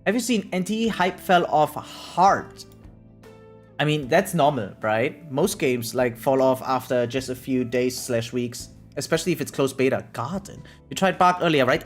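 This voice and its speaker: A game streamer with a mid-pitched voice talks casually on a live stream, thinking aloud as they go. The delivery rambles and often tags thoughts with a quick check-in question. Music plays behind the voice. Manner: rambling, repeatedly tags sentences with "right?". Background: background music.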